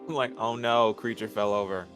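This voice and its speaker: A man's voice: fairly low pitch, fairly even intonation, a little fast, a bit bright, faint music behind. A man chatting casually about a game as a guest, with an exaggerated, comically exasperated way of talking. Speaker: guest co-commentator. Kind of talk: casual gaming chat.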